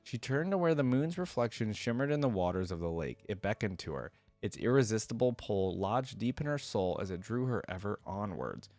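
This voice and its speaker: A man's voice: fairly low pitch, big pitch swings, fairly quick, hardly any pauses, a little mellow, dry recording. A man hosting a reaction, moving between casual, conversational commentary and reading a story aloud. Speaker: reaction host and reader.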